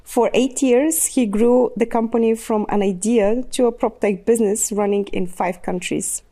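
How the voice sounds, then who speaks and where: Gravelly-voiced woman hosting a podcast. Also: a little high, steady tone, moderate pace. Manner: warm-up laughs, gentle probing questions. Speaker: podcast host. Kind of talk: interview hosting with a read intro.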